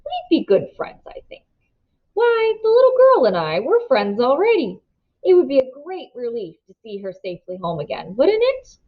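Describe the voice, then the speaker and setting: A woman voicing a smooth, scheming older man who talks in polite, ingratiating tones with quiet menace. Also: high-pitched, huge pitch swings, normal speed, pitched above the performer's own voice, heavily acted. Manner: silky, ingratiating, then hard bargaining. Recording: dull lo-fi recording.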